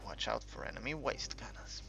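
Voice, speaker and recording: A man giving casual, unscripted game commentary in a halting, rambling way, as if thinking aloud while he explains things. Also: mid-range voice, huge pitch swings, creaky. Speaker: gaming video creator narrating a tutorial. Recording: dull lo-fi home recording, music behind.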